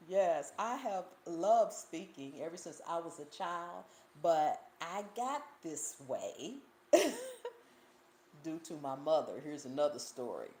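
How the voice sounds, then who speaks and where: A woman's voice: sits low, slow pace. A woman with a Southern US accent hosting a cooking channel's live Q&A, telling stories and reading viewer comments with a lot of laughter. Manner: animated storytelling, reads viewer comments, laughs often. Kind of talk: casual livestream Q&A chat. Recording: roomy echo, faint background hiss.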